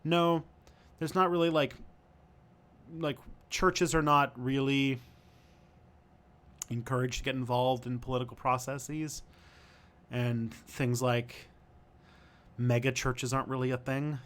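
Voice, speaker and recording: A young man from Canada chats through viewer questions on a livestream, pausing and restarting as he thinks out loud. His voice sits at an ordinary middle pitch. He sounds casual and unscripted. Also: level tone. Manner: thinking aloud, hesitant with many ums and restarts.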